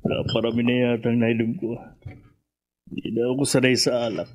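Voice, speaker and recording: Radio drama role: male actor as humble, aggrieved Filipino stable worker, husky mid-range voice. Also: mid-range voice, little pitch movement, a little fast, darkish tone, lightly acted. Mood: drunk, bitter. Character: meek, resentful male horse tamer, longtime hacienda servant.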